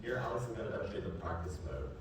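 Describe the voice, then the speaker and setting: A man's voice: fairly low pitch, big pitch swings, very fast. A man from Kyrgyzstan, a researcher presenting a rehearsed product demo. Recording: echoey hall from far off, noisy.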